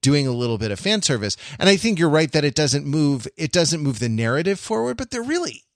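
A man hosting a podcast in casual analytical discussion, halting and repeating phrases as he thinks. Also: on the high side, big pitch swings, no room sound, clean studio sound. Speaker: podcast host.

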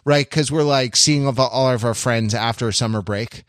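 A man hosting a podcast in casual analytical discussion, halting and repeating phrases as he thinks. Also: mid-range voice, no room sound, studio quality. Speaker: podcast host.

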